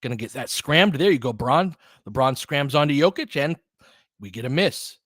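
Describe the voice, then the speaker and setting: An American man with a mid-pitched voice hosts a casual basketball stream. He talks conversationally and analytically, like someone explaining the game to a chat while calling it. The recording is dry, with very little room sound. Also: lively intonation, normal speed, fairly bright tone, dry recording. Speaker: basketball commentator and host of a live stream. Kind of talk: casual live play-by-play commentary with chat.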